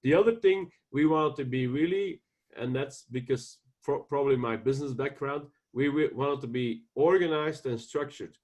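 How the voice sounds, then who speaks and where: A man with a Belgian accent, a guest ranch owner talking earnestly in an interview, often pausing on fillers and restarting. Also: mid-range voice, darkish tone. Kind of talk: casual interview.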